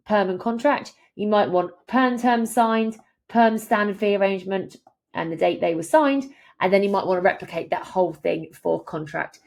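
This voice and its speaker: A woman presenting a software tutorial, explaining each step as she walks through the screen. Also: medium pitch. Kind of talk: instructional screen walkthrough.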